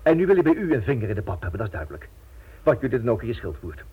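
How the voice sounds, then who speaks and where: A blunt, defiant husband facing down his criminal captors in a radio drama. The voice is husky and sardonic, with lively swings in pitch. The old recording sounds thin and tinny. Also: very fast. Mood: bitter, sarcastic. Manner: blunt, sarcastic. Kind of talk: radio play acting.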